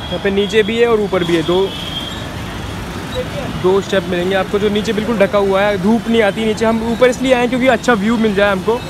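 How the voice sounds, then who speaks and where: A man's voice: high-pitched, fairly even intonation, fairly quick. A man, chatting informally for a vlog on an open rooftop. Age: 20s. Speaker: vlogger. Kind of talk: casual vlog chat. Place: open rooftop cafe above a busy street. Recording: loud outdoor traffic noise.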